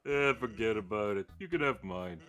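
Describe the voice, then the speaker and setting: A man voicing a laid-back, joking skeleton from a video game, with a casual, wry deadpan manner. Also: fairly low pitch, normal speed. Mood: easygoing. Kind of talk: live character voicing of video game dialogue. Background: game music.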